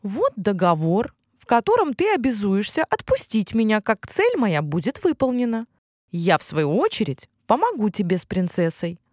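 A wise and stern unicorn from a fairy tale, voiced by a woman storyteller. It speaks formally, like a proud creature used to giving terms, with wide swings in pitch. The sound is dry and free of echo. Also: sing-song, a little mellow. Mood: firm, conditional. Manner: formal, measured, admonishing.

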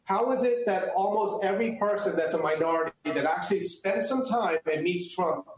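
A man's voice: high-pitched, brisk, very echoey, a little hiss or hum, rough recording. A man, born in the US and raised speaking Chinese first, answering interview questions earnestly with many restarts. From United States. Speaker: guest, photographer.

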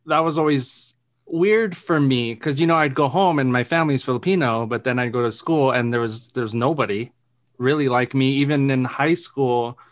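A man from Arizona hosting a podcast, asking questions in a relaxed, friendly way with frequent restarts and ums. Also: mid-range voice, no room sound, clean studio sound. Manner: relaxed, friendly, halting with repeated words and ums. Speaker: podcast host. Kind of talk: casual interview conversation.

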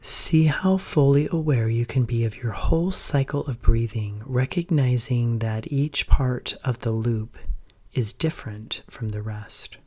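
A man leading a guided meditation as a teacher, in a gentle, measured, calm manner with pauses and soft, breathy phrase endings. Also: medium pitch.

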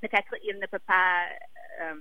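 A woman singer whose first language is English, speaking French haltingly and often pausing to search for words. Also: mid-range voice, steady tone, muffled recording, slight background noise. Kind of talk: interview in a second language.

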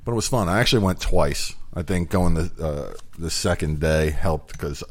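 A middle-aged man from New Jersey hosting a casual podcast chat, joking and relaxed. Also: sits low, moderate pace. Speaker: podcast host.

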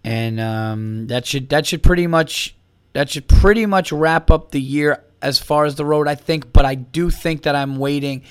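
A man's voice: medium pitch, clear, resonant tone, dry recording. A man, a comedian talking casually on his own podcast in a rambling, upbeat way while losing his voice to a cold. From New York. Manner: rambling, upbeat, with restarts and plugs. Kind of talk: casual solo podcast chat.

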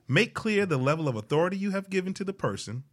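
Male co-host, slightly high voice, very animated, playful, casual talk-show delivery. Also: quick pace. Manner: playful, teasing. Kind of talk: casual banter and tips.

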